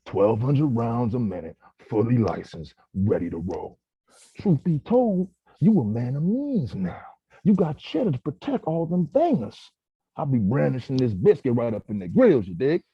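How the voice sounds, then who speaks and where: Guy doing a smooth hustler pitching everything, husky, dull lo-fi mic. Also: big pitch swings, normal speed, no room sound, heavily acted. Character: slick street hustler pitching himself as bodyguard. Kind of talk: table read acting. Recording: dull, dry lo-fi audio.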